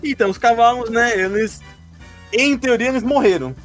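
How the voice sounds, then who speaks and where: A Brazilian man with a high voice talks casually as a podcast co-host. He is jokey and quick to laugh, and faint music plays behind him. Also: a bit bright. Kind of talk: casual chat looking back on a role-playing campaign.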